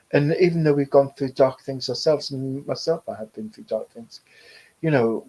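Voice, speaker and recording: A man working as a medium, giving a live online reading in a gentle, rambling, reassuring way with frequent fillers and soft laughs. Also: medium pitch, breathy edge, rough recording.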